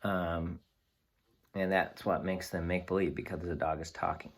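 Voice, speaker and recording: A man reading aloud to children as a teacher, pausing to explain things simply to young listeners. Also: sits low, flat delivery. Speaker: read-aloud storyteller and teacher.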